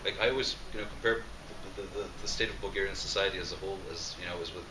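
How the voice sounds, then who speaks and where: Interview guest, man, very low voice, rapid talker, distant mic in an echoey hall. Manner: fast, animated, many restarts and fillers. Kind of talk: casual interview conversation. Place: large roomy event space. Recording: echoey room, distant mic, background noise.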